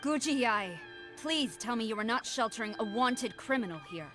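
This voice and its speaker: A woman's voice: a little high, lively intonation, moderate pace, natural voice. A woman voicing a proud, loyal army commander in a video game, formal, rigid and commanding, with music underneath. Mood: guarded, stern. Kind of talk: video game cutscene voice acting.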